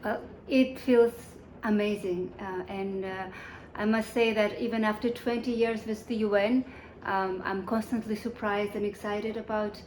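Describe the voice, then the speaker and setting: Measured, thoughtful woman in her forties. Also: mid-range voice, steady tone, darkish tone, roomy sound, a little hiss or hum, low-quality recording. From Kazakhstan. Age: 40s. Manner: measured, thoughtful, many ums and restarts. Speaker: guest, UN section chief. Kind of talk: interview answers.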